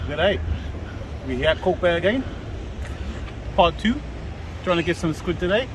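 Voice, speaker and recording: A man's voice: on the high side, expressive, unhurried, slight background noise, low-quality recording. A man chatting casually beside a fishing companion on an outdoor harbour pier, heard a little away from the camera. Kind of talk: casual chat.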